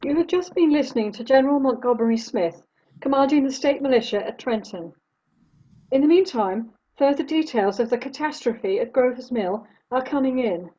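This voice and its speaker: A woman playing a composed radio news announcer in a drama, reading grave bulletins in a formal, measured way. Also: high-pitched, warm tone, dull audio.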